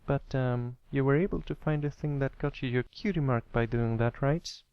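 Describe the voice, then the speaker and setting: A man's voice: medium pitch, normal speed, clear, resonant tone, dry recording, dull audio, voice pushed up, close to an everyday voice. A man voicing an earnest, slightly hesitant young colt pony, an eager and wistful little brother in a read-aloud story. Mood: curious, wistful.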